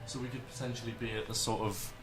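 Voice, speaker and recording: A man's voice: medium pitch, fairly even intonation, fast talker, noisy background. A young man presenting a radio show and interviewing a comedian, hesitant and full of fillers. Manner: hesitant, lots of uh and sort of.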